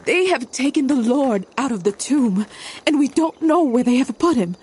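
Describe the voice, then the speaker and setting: A woman's voice: high-pitched, expressive, normal speed, darkish tone, slight background noise. A woman voicing a grieving young woman who pleads emotionally in a dramatized reading. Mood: pleading.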